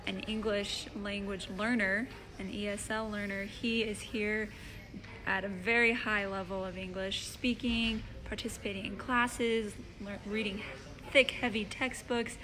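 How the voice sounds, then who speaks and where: A woman's voice: mid-range voice, steady tone, unhurried, noisy background. A young woman hosting a casual live-stream interview, talking in an upbeat, chatty way with fillers. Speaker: host interviewer.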